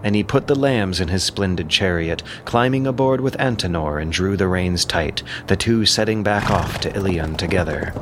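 A man narrating an epic audio drama in a measured, theatrical storytelling manner. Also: sits low, noisy background.

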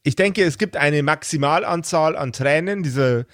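A man's voice: on the high side, normal speed, fairly bright tone, dry recording, clean studio sound. A man talking casually as a podcast host and game master, thinking aloud and often repeating words as he builds a fictional world.

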